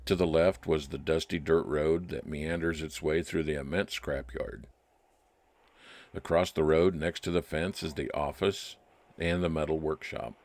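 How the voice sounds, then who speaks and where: A man playing a wry, nostalgic private detective, narrating his case deliberately in old time radio style. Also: very deep voice, fairly even intonation, darkish tone. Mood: reflective. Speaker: narrator and lead actor.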